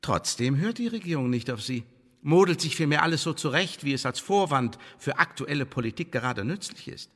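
A male actor playing an imperious secret service interrogator in a German radio play, pompous and sardonic, with a husky voice. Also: a little high, lively intonation, fairly quick, a bit bright. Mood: scornful, superior. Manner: pompous officialese mixed with sardonic colloquial jabs. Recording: reverberant hall-like radio drama room.

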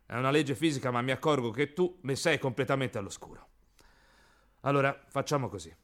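A man's voice: medium pitch, fairly quick, a bit bright, natural voice. An actor voicing a pedantic, exasperated know-it-all man in a radio play. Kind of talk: radio theatre acting.

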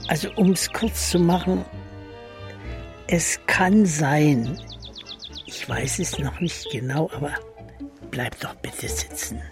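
An actress playing a fond older woman, talking haltingly and confidingly to a pet in a naturalistic radio drama. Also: low-pitched, lively intonation, over music, exaggerated voice. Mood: tender, confiding.

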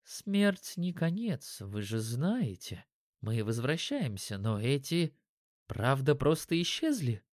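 A man voicing a curious, teasing and gentle young female elf in an audiobook story. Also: very deep voice, very animated, slow, no echo, clean studio sound, heavily acted. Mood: knowing, teasing.

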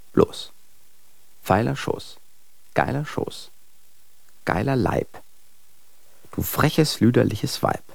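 A man's voice: medium pitch, gravelly, darkish tone, no echo, exaggerated voice, poor audio. An actor playing a bitter man who declaims venomous, incantatory curses in verse in a German radio drama. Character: bitter man cursing a woman in obscene verse.

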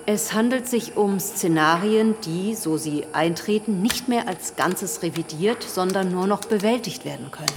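A sober, clinical expert played by an actress delivers a formal briefing in a radio drama. Her voice rings through a long reverb, with clearly audible noise around it. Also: medium pitch, lively intonation, moderate pace, few pauses, lightly acted. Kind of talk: formal report in a radio play.

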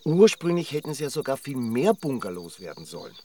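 An actor playing a cagey, gossipy village chronicler in a radio drama, with an Austrian accent and a cautious, confiding manner. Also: male voice, mid-range voice, very animated, fairly quick, dark tone, recorded from a distance, a little hiss or hum, close to an everyday voice.

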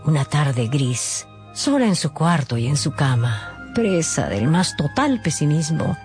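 A woman's voice: low voice, very animated, a little slow, a little mellow. A woman narrating a dramatised story, with wry, knowing asides to the listeners. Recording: faint music behind the voice.

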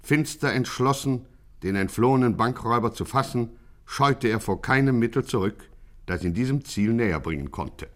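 Male narrator, medium pitch. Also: lively intonation, moderate pace. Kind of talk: wry scripted radio play narration.

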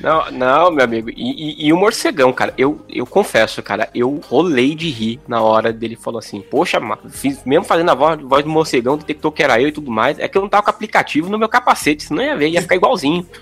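A man's voice: fairly high pitch, lively intonation, fast, hardly any pauses, fairly bright tone, husky. A man with a Brazilian accent chatting casually on a podcast in an informal, joking manner. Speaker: podcast participant.

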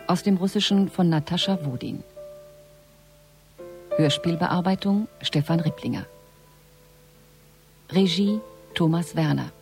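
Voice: medium pitch, unhurried, long pauses, a little mellow. An announcer reading the credits of a radio play as scripted narration. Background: music.

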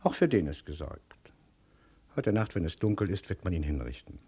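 A sly imperial chancellor in a vintage radio play. The voice is heavily breathy and rough, lively in its rise and fall. He is smooth and insinuating, with a theatrical, servile polish. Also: low voice, normal speed, exaggerated voice. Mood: sly, self-satisfied. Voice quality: very husky, breathy. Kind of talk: 1950s German radio play acting.

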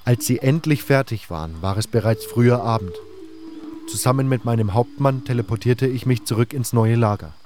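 A man's voice: medium pitch, brisk, a little mellow. A man reading scripted first-person narration for a fantasy audio drama. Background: music.

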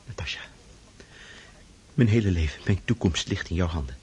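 A man's voice: deep voice, lively intonation, normal speed, darkish tone, a little hiss or hum. A man playing an earnest, lovelorn captain in a radio drama, speaking with humble, courtly feeling.

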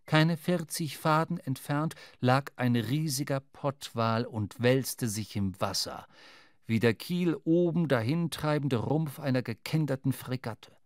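A male actor playing a reflective sailor who narrates a whaling adventure in measured literary style for a radio drama. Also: medium pitch, no echo, clean studio sound, close to an everyday voice. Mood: reflective, wondering.

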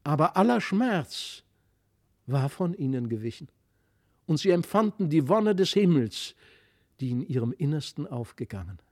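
A man's voice: fairly high pitch, very animated, moderate pace, a little mellow, no room sound. A man narrating a literary fairy tale expressively, with a solemn, dramatic delivery in a studio. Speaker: audiobook narrator. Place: dry studio.

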